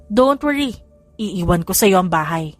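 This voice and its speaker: A woman's voice: on the low side, expressive, moderate pace, soft background music. A woman voicing a menacing, smirking cruel husband in a taunting audiobook drama dialogue, slipping English into the speech. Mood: smug taunting.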